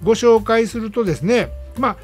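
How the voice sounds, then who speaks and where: A man's voice: high voice, fairly quick, soft background music. A man with a Kansai accent hosting a radio show, chatting casually and emphatically as he reviews films in a monologue. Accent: Kansai Japanese. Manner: chatty, emphatic, peppering phrases with "ne".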